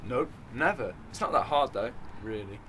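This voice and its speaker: A man's voice: mid-range voice, lively intonation, moderate pace, pushed and bright, slight background noise. Man playing a casual, hesitant working-class teenager. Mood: casual, cheerful. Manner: informal, hesitant. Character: polite, down-to-earth working-class teenage boy. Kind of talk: radio drama acting.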